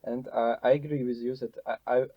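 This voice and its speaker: A man's voice: medium pitch, steady tone, fairly quick, no echo, lo-fi sound. A young man with a Ukrainian accent, hosting a casual interview in English and speaking hesitantly as he searches for words. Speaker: podcast host.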